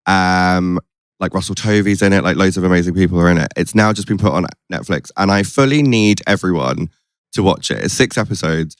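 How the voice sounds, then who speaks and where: Casting: male podcast host, lowish voice, gushing casual chat, dry close recording. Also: brisk, smooth, no echo. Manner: effusive, gushing, frequent "like".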